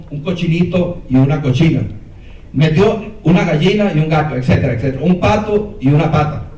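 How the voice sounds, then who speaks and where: A man with a Venezuelan accent lectures at a conference, sitting slightly higher than most men's voices. He tells animated stories, stumbling and restarting with fillers. The voice echoes through a reverberant hall, caught by a microphone some distance away. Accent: Venezuelan Spanish. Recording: roomy hall, mic far off.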